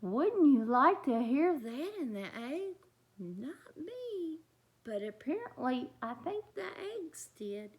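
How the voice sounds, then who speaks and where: A woman with a high voice reads a picture book to young children. Her delivery is very slow and full of lively swings, and she steps out of the text to chat straight to the listeners. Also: a little hiss or hum. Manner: expressive, talks directly to young listeners. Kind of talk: animated read-aloud with chatty asides.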